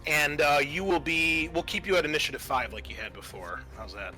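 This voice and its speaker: A man acting as game master, narrating a tabletop roleplaying game in a halting, self-correcting way. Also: on the high side, sharp tone, faint music behind.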